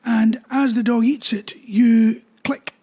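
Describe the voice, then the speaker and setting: Husky-voiced man. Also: very high-pitched, slow pace. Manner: relaxed, explains with everyday examples. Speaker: dog trainer. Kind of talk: explanatory talk to camera.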